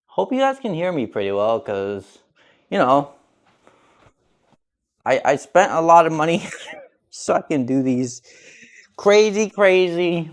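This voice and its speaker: A man's voice: fairly high pitch, very animated, slow pace, pauses often. A young man who grew up speaking Russian talks casually while cooking, rambling and restarting his sentences. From grew up speaking Russian, learned English at eight. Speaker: vlogger cooking on stream.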